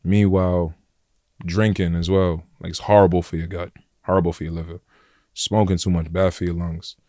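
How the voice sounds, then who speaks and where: A young man with a New York accent and a deep voice, talking bluntly and casually as a podcast guest, full of slang and "like" and "bro". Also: flat delivery, moderate pace, warm tone, dry recording, clean studio sound. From Flatbush, Brooklyn, New York. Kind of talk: casual conversation.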